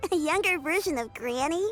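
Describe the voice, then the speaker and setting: A woman's voice: very high voice, fairly bright tone, heavily acted. A woman voicing a polite, plucky little girl in a video game, earnest and slightly hesitant. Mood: surprised.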